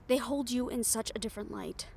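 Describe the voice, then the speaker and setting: A woman's voice: fairly high pitch, brisk, creaky, darkish tone, slight background noise. A woman playing a bold, sarcastic, foul-mouthed reporter in an improvised audio roleplay, speaking in a candid, conversational way. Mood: earnest, persuasive. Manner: conversational, exasperated, candid, with sighs and swearing.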